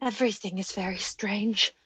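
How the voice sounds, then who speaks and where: A woman's voice: mid-range voice, fairly even intonation, moderate pace, fairly bright tone, husky, no room sound. A woman playing a timid, fearful, trembling younger sister in an old-time radio drama. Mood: uneasy.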